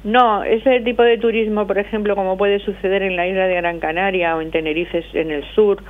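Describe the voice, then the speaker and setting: A middle-aged woman from Madrid, with a Madrid accent, talks at length and with many pauses as a phone guest on a radio interview. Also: medium pitch, fairly even intonation. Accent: Madrid Spanish. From Madrid, Spain. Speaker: association spokesperson, phone guest. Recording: tinny phone line.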